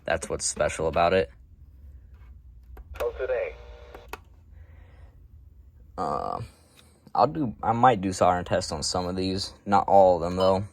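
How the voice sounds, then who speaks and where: A man's voice: low voice, slow, long pauses. A man giving a casual, unscripted show-and-tell as a hobby collector, talking in an offhand, matter-of-fact way with rambling asides.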